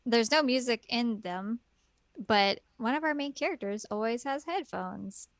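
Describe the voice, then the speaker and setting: A woman's voice: a little high, lively intonation, unhurried, no echo. A woman co-hosting a casual podcast chat, rambling and giggly with lots of fillers. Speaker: podcast co-host.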